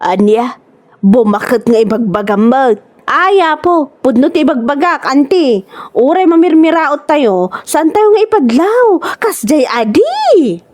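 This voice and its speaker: A Filipino woman with an Ilocano accent playing a vain, boastful, scornful village woman in a comedy radio drama. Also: fairly high pitch. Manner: animated, scornful, exclaiming.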